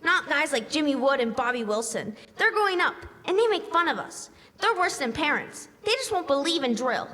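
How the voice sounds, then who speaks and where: A woman's voice: high-pitched, very animated, normal speed, hardly any pauses, sharp tone, heavily acted. A woman playing an eager, stubborn young girl in a classic-style radio drama on a live stage. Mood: scornful. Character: excitable, stubborn young girl obsessed with an invasion game. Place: on stage in a school hall. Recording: echoey hall, live stage performance.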